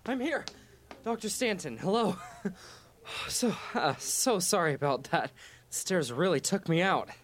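Man playing an eager, lively young scientist. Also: high voice, measured pace. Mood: flustered, friendly. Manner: polite, awestruck, hesitant. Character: eager, nervous young male scientist, new on the job. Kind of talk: audio drama acting.

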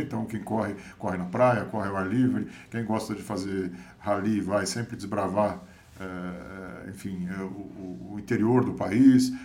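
Low-voiced, hesitant Brazilian male interviewer. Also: a little mellow. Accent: Brazilian Portuguese. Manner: rambling, hesitant, circling towards questions. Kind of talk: conversational interviewing.

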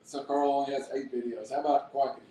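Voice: medium pitch, fairly even intonation, fast talker, warm tone. An adult host casually interviewing two children and prompting them with short, leading questions. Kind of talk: casual home-made show chat. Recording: roomy echo, faint background hiss.

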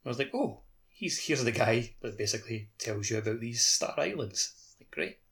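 A man's voice: medium pitch, expressive, moderate pace, fairly bright tone, slightly rough. A man on a gaming podcast panel, talking casually. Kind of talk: casual chat.

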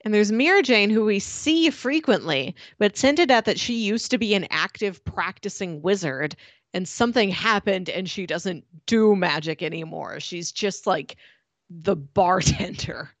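A woman co-hosting a casual book discussion, speaking in a measured, plain-spoken way. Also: medium pitch, animated, dry recording. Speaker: podcast co-host.

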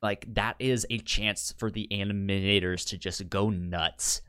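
A man co-hosting a podcast in casual chat, halting and repeating words as he thinks. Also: fairly low pitch, steady tone, bright, forceful tone, clear, resonant tone, no room sound, studio quality. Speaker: podcast co-host.

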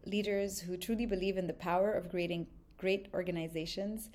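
A woman's voice: on the low side, steady tone, a little fast, darkish tone. A woman from Lebanon, a podcast guest, speaking earnestly and reflectively with frequent ums. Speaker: podcast guest, consultancy founder. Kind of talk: conversational interview.

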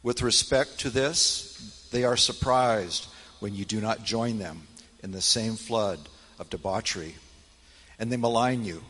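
A man with a fairly low, husky voice reads scripture aloud in a solemn style. He phrases deliberately and measuredly, and long reverb of a hall trails his words. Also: fairly bright tone. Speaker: church elder reading scripture. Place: large church hall, speaking to congregation.

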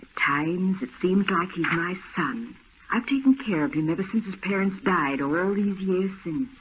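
A woman playing an older housekeeper who is protective of her employer and speaks in a hushed, pleading way, in 1940s radio acting. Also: fairly low pitch, expressive, normal speed, natural voice. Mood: worried. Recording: tinny old radio broadcast with faint hiss.

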